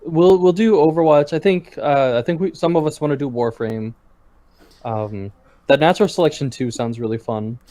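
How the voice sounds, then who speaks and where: A man's voice: on the high side, normal speed, warm tone. A man in his twenties co-hosting a casual podcast with friends, laid-back and steering the talk to new topics. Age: 20s. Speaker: podcast co-host. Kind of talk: casual chat among friends.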